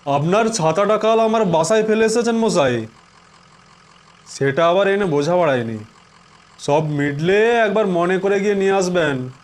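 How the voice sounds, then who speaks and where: A man's voice: sits high, normal speed, lightly acted. A man voicing a wry, confident, bossy ghost-hunting investigator in an audio suspense story over music. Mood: teasing. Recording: music bed behind the voice.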